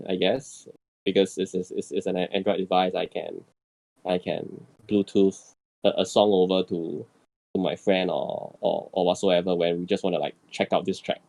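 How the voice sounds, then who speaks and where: Casting: male podcast guest, low pitch with heavy fry, conversational, repetitive stop-start delivery. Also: mellow, rough recording. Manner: stammering repetition of words. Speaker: podcast panelist. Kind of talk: casual chat.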